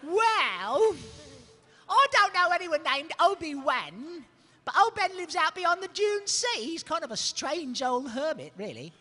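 A man's voice: very high voice, big pitch swings, unhurried, sharp tone, exaggerated voice. A man voicing a dim, cheerful cartoon boy mouse in a comic live script reading. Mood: chatty.

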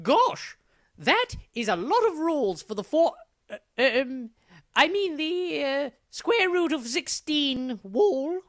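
Super high excitable cartoon maestro, naive n flustered, voice swoops all over. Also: male voice, slow, heavily acted. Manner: flustered, stammering, exclaiming. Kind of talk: animated comedy dialogue.

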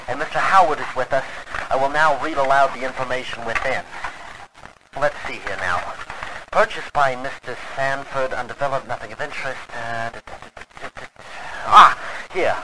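A man's voice: mid-range voice, background noise. An actor playing a methodical 1920s radio man, dictating a formal investigation log on an old recording. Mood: methodical, intent. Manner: precise, formal, deliberate. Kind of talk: audio drama in 1920s radio manner.

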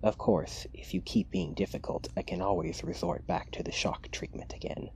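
A man playing a cold, menacing, possessive mad scientist in an ASMR roleplay, clinical and taunting in a hushed way. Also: fairly low pitch, very animated, normal speed, creaky, full character voice. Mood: threatening. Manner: clinical dictation mixed with hushed, taunting asides.

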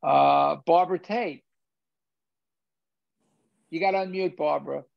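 A man's voice: fairly high pitch, a little slow, creaky, no room sound. An older man moderating a film discussion over a video call, hesitant with many fillers and restarts. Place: home theater room. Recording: dull dry video-call audio.

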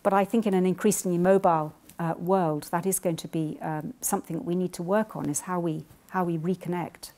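A woman's voice: fairly low pitch, steady tone, mellow. Earnest older woman lecturing. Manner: measured, earnest, thoughtful pauses. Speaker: bishop giving a lecture. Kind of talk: formal lecture then answering questions. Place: wood-panelled college lecture room. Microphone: lectern microphone.

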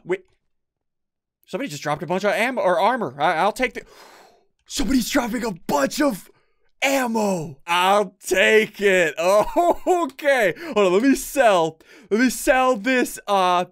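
A young man giving excitable game commentary to his viewers, laughing often, on a headset mic at his desk at home. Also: high voice. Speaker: gaming YouTuber.

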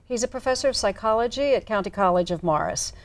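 Woman host with steady murmured agreement. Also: on the high side, quick pace. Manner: frequent affirming murmurs. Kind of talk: studio interview. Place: TV studio.

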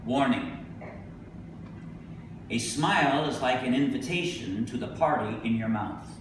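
A middle-aged man giving a live poetry reading, speaking deliberately with emphatic pauses. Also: mid-range voice, expressive. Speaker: poet. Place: indoor venue with a staircase, live reading. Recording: echoey room from a distance, noisy.

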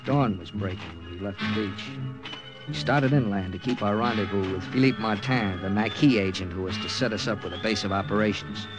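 A man's voice: on the low side, a little fast, natural voice, poor audio. A man acting a wary, distrustful American captain and secret agent in an old radio war drama, with clipped hard-boiled delivery. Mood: tense, grim narration. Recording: old radio broadcast with loud music.

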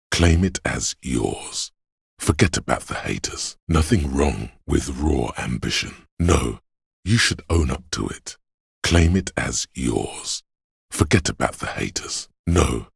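Bottomless, gravelly inner voice. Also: male voice, no room sound, clean studio sound, heavily acted. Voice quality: very deep, gravelly, husky rasp. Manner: slow, ominous, grandiose, sardonic. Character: gravelly inner voice of a man's bodily endurance, brooding, grandiose. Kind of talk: video game voice acting.

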